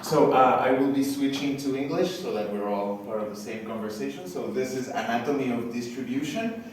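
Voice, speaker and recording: A man's voice: medium pitch, brisk. Casual man hosting in an echoey hall. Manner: casual, filler-heavy. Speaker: event host. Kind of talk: introducing a speaker. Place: large hall. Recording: echoey hall from far off, faint hiss.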